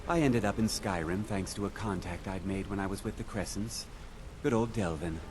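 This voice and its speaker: An actor playing a suave, thrill-seeking male thief in a video game, talking in a smooth, confiding way. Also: low voice, normal speed, natural voice. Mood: confiding, wistful. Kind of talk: scripted game dialogue. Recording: clearly noisy background under game dialogue.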